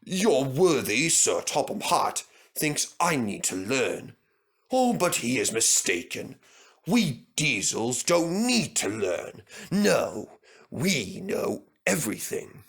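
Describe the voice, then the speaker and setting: A man's voice: mid-range voice, big pitch swings, takes it slowly, creaky, fairly bright tone, slightly rough, heavily acted. A man voicing a smug, oily male diesel engine who purrs condescendingly.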